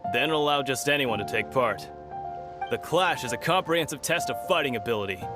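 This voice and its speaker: A man's voice: medium pitch, expressive, fairly quick, close to an everyday voice. A man voicing a cocky, dismissive male tournament fighter in a game cutscene. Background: game music.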